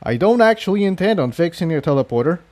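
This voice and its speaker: A man's voice: a little high, very animated, fast talker, darkish tone, no echo, voice pushed up. A man voicing a clever, self-assured male pony inventor in a matter-of-fact way for a storybook reading. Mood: confident.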